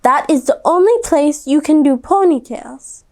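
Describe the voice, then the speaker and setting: A woman's voice: high voice, expressive, a little slow, a little mellow, voice pushed up. A woman voicing a doubtful, questioning mother in a children's storybook.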